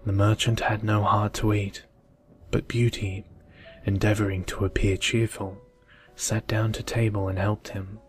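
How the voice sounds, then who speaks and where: A man narrates a bedtime story in soft ASMR style. His voice is low and very even, measured and soothing, with faint music underneath.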